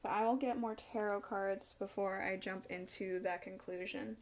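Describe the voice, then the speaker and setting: A woman's voice: medium pitch, steady tone, moderate pace, noisy background. A woman doing a casual tarot reading to camera, a bit stuffed up from a cold and musing aloud as she turns the cards. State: stuffed up, slight cough. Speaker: tarot reader.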